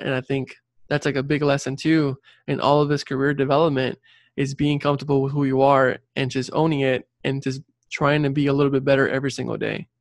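A young man with a gravelly fry in his voice and a fairly even melody speaks in a dry, close recording. His first language is Spanish. He answers casually and earnestly as an interview guest, circling back to stress his points. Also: medium pitch, no echo. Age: late 20s or 30s. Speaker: interview guest, civil engineer and career coach.

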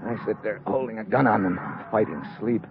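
Low-voiced tough American agent, old radio. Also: male voice, a little fast, creaky, noisy background. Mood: tense narration. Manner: hard-boiled, terse, commanding. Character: tough, wary American secret agent hero narrating his jungle adventure. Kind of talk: old-time radio adventure acting. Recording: muffled vintage radio recording with music.

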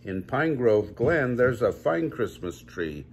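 A man's voice: medium pitch, sing-song, takes it slowly, vocal fry, a little hiss or hum, exaggerated voice. An older man voicing an eager, friendly badger in a children's storybook.

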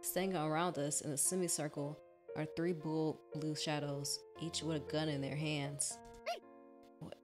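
A woman doing a let's play, reading a visual novel aloud and exclaiming expressive reactions. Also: low-pitched, moderate pace. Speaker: gaming streamer. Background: game music.

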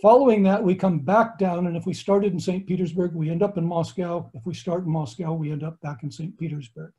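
Older American man lecturing, mellow tone. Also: high-pitched, fairly even intonation, fairly quick. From United States. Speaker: astronomer and tour lecturer. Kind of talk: online slideshow lecture. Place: home study with bookshelves.

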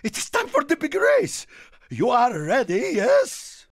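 A man voicing an excitable, warm-hearted Italian car in a video game, with an Italian accent and a theatrical manner. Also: very high voice, unhurried, no room sound, studio quality, exaggerated voice. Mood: excited, urging. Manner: theatrical, refers to himself in third person. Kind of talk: animated video game character lines.

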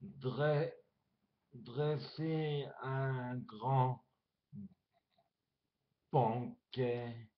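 A man reciting his own poem as an amateur poet, haltingly, phrase by phrase with long pauses. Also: medium pitch. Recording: dull lo-fi amateur recording.

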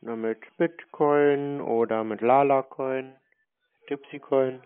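A man with a medium-pitched voice speaks over a thin phone line. He chats casually and deadpan, feigning naivety. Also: slow, clean tone. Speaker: prank caller baiting scammers. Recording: narrow phone-like sound.

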